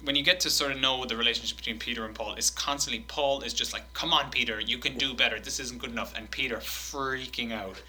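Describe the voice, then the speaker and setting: Man, bright forceful voice, casual podcast banter, animated quote reading. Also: mid-range voice, fast talker, few pauses, slightly rough, rough recording. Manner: animated, swearing, laughing while reading quotes.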